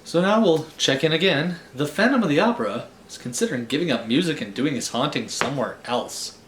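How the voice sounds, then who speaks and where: A man's voice: on the high side, lively intonation, a little fast, a bit bright. Playful man reading aloud, faint noise. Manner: playful, theatrical, wry. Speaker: host reading stories aloud. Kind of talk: storybook read-aloud with casual asides.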